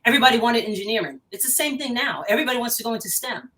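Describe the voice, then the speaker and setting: A woman's voice: fairly high pitch, expressive, very fast, pushed and bright. An American woman hosting a conversational interview, affirming her guest and repeating words as she forms questions. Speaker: interview host, educator.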